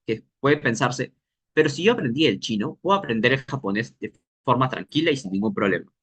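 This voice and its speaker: Guy teaching a casual workshop, thinks out loud, pauses looking for words. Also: medium pitch. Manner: explains thinking aloud, pausing to search for words. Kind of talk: informal workshop lesson.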